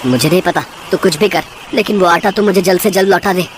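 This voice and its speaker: A man voicing a poor, hardworking village miller in a cartoon story, husky and dramatically exclaiming. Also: high-pitched, fast talker, noisy background, close to an everyday voice. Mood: agitated, pleading.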